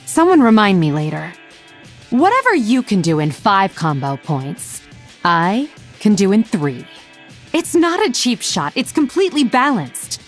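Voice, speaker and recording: Sardonic female elf assassin, game character, teasing and theatrical, very expressive pitch, music underneath. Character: sly, sardonic young-looking female elf rogue assassin. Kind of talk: video game character voice lines.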